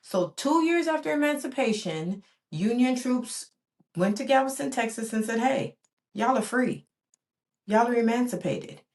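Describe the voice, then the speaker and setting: A woman's voice: fairly high pitch, lively intonation, a little slow, a little mellow. A woman in her forties, born in Barbados and raised in the US, hosting a reflective solo podcast in an earnest, rhythmic way with repeated emphatic phrases. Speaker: podcast host.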